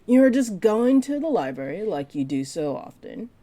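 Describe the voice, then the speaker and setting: A woman playing a flirty, possessive part-dragon librarian in an intimate roleplay audio drama, speaking to the listener in a teasing, deliberate way. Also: medium pitch, very animated, dark tone. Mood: fond, reminiscing. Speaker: voice actor.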